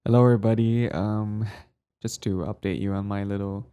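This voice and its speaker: A man with a raspy voice, recovering from throat trouble, giving a casual, low-key update to his listeners as channel host. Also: steady tone, normal speed, mellow.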